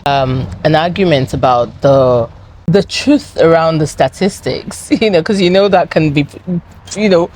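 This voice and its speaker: Lower-voiced woman hosting a chatty interview. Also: measured pace, a little mellow, a little hiss or hum. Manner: chatty, affirming, teasing asides to viewers. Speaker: interview host. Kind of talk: conversational interview.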